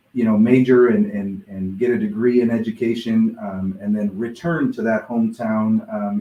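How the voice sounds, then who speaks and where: Lower-voiced man in an echoey room. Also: mellow. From born in Mexico, raised in Nebraska, USA. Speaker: interview guest, middle school principal. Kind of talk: conversational personal storytelling. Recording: roomy, echoing video call sound.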